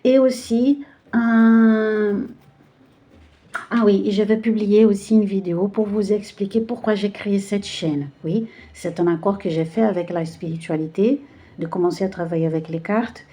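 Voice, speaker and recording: A woman with a Brazilian Portuguese accent hosts a casual card-reading video in French, which is not her first language. Her voice sits in a middle pitch with a warm, mellow tone. She often pauses and searches for words as she talks. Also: steady tone. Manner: hesitant, searching for words with many fillers. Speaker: tarot card reader.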